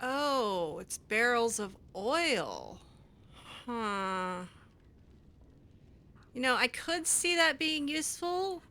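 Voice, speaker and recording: A woman narrating her roleplay playthrough in a bright, slightly high voice. She talks slowly and casually, musing aloud, with faint music behind her. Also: very animated. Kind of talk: casual in-character game commentary.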